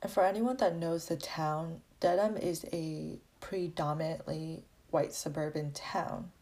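A woman's voice: deep voice, fairly even intonation, measured pace, mellow. A young woman with an American accent, a college student reflectively telling her personal story. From grew up in Massachusetts, US, born in Vietnam. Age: young adult.

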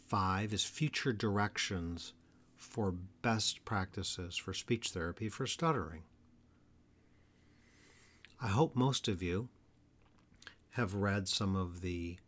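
A man with a lowish voice talks alone into the mic for his podcast about stuttering. He is earnest and measured, and he slows right down to lean on the ideas he wants to stress. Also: a bit bright. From Atlanta area, US. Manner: earnest, measured delivery with slow emphatic phrases. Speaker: podcast host, stuttering therapist. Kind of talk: solo educational podcast talk.

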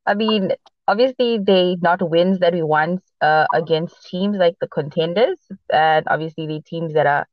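A woman speaks in a mixed accent shaped by Portuguese, South Africa and America, and Portuguese is her first language. She chats casually on a podcast, halting and restarting with plenty of fillers. The sound is dry and a bit dull, like a lo-fi recording. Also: fairly low pitch, no echo. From mixed upbringing: Boston, Los Angeles and South Africa. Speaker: podcast co-host. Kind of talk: casual sports podcast chat.

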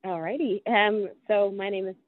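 A woman's voice: medium pitch, steady tone, moderate pace. A young Irish woman in her twenties, a guest in a casual interview, chatting with frequent ums as she thinks aloud. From Ireland. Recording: tinny phone-like line.

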